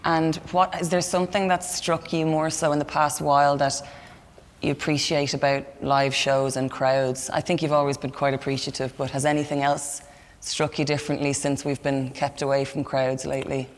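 A woman's voice: sits low, little pitch movement, normal speed. A young woman with an Irish accent conducts a friendly interview. She asks questions conversationally, and her voice rings in the long reverb of a large hall. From Kildare, Ireland. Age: young adult. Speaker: interviewer, musician. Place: large historic hall indoors.

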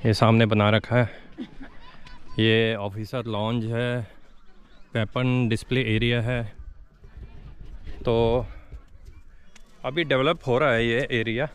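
A man's voice: mid-range voice, fairly even intonation, slow, long pauses. A man, giving chatty travel vlog commentary into a handheld camera, in a car and outdoors. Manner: chatty tour-guide commentary, mixing English words into Hindi. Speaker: travel vlogger. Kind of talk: casual travel vlog narration. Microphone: handheld camera at arm's length. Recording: outdoor camera audio with clear vehicle and wind noise.

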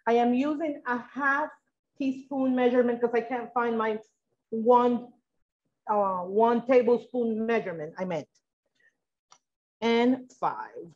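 A woman with a fairly high voice at a kitchen counter in a live-streamed cooking class, talking her way through each step, the sound a bit dull. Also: slow, pauses often, a little mellow. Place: indoor kitchen with steel fridge and counters.

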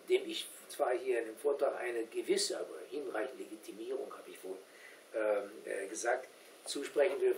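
An older man, a guest lecturer, explaining his points in a discussion in a halting, deliberate way, often correcting himself. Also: mid-range voice. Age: older, 60s or 70s. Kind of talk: discussion after a talk, explaining. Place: small indoor meeting room with seated audience. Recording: roomy, distant camera mic, background hiss.

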